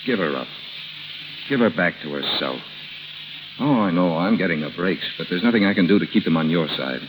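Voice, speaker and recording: A man's voice: low voice, expressive, brisk, noisy background. A man acting in a 1930s radio drama as a determined, earnest lover, speaking in an insistent, forthright way. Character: determined, earnest male lover confronting his rival. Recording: old 1930s radio recording, music behind.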